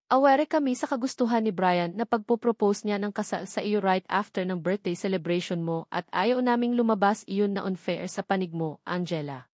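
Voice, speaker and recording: A woman voicing a serious, caring, wealthy older lady giving measured advice in an audiobook. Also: mid-range voice, a little mellow, no room sound, clean studio sound, close to an everyday voice. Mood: serious, concerned. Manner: grave, measured advising. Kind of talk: audiobook character dialogue.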